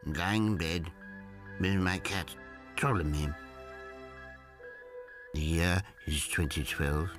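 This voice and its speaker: An actor playing an eccentric, dry-witted English amateur astronomer, narrating in a wry, old-fashioned, well-spoken manner. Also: male voice, deep voice, slow pace, pauses often, music in the background. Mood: slow, dreamy. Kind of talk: radio drama narration and dialogue.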